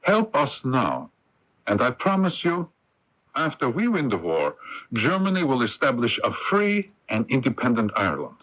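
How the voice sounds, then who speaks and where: An actor playing an urbane, persuasive German count in a radio drama, insistent and grandly assured. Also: male voice, mid-range voice, wildly swooping pitch, normal speed, fairly bright tone. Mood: persuasive, promising. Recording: muffled AM radio broadcast tape.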